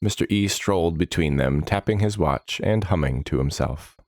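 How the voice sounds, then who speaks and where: A man reading dramatic fantasy narration in a measured, solemn manner, giving short phrases weight. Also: low voice, darkish tone, no room sound, clean studio sound.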